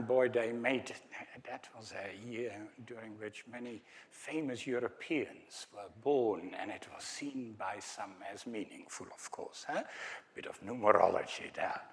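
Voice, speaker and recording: An older man with a Dutch accent lectures at an unhurried pace, stopping often on ums and uhs. His delivery is hesitant but friendly, broken by wry little asides to the audience, in a mid-pitched voice. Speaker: academic speaker. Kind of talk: conference lecture with slides.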